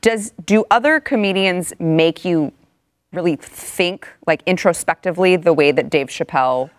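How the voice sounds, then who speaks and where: A woman's voice: lowish voice, moderate pace, no room sound, studio quality. A woman talking casually as a co-host on a talk show. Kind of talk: casual discussion.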